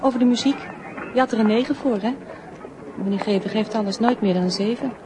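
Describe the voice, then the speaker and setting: Woman acting as young schoolgirl, high voice, friendly, matter-of-fact, noisy radio play recording. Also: a little fast, crowd noise, natural voice.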